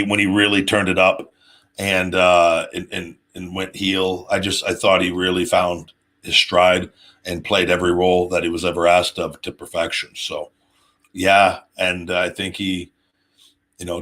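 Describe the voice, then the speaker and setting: A man's voice: fairly low pitch, fairly bright tone. A man in his late thirties hosting a casual, off-the-cuff talk show, rambling and often restarting his sentences. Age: 30s. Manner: rambling, halting, with repeated restarts. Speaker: show host, former pro wrestler. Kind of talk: casual off-the-cuff monologue answering viewers' questions.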